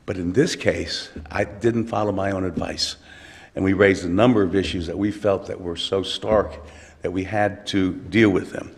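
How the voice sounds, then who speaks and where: An older male attorney, hoarse from a cold, arguing an appeal in a courtroom with frequent halting restarts. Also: sits low, fairly even intonation. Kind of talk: appellate oral argument. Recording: long courtroom reverb.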